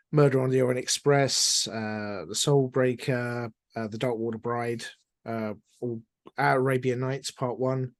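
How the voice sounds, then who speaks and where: Middle-aged man, mid-pitched, wry and chatty interviewee, very dry close sound. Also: dry recording. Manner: wry, self-deprecating, chatty. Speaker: interview guest, audio drama producer. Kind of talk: casual interview storytelling.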